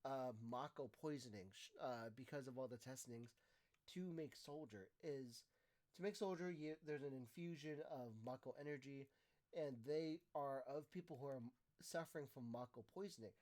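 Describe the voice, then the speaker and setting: A man co-hosting a casual chat, explaining things haltingly with many fillers and restarts. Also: medium pitch, a little mellow.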